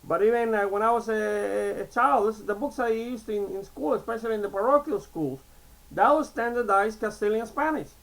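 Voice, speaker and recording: A man in his sixties from Cuba, with a notably high voice for a man, chats loosely to his camera. He wanders from point to point, restarts sentences and tosses in "okay?" to keep his viewers with him. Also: brisk, vocal fry, fairly bright tone. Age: 60s. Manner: chatty, digressive, repeats "okay?" and restarts often. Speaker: vlogger. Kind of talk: casual rambling monologue to camera.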